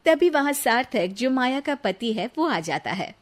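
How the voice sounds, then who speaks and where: A woman's voice: fairly high pitch, unhurried. Woman narrating a cartoon story. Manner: even, storytelling.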